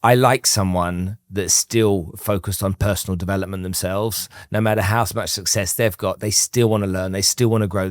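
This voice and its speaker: A male podcast host asks questions in a friendly, probing way and laughs easily between them. His voice is on the low side. It is recorded close and dry, with no room echo. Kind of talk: casual business interview.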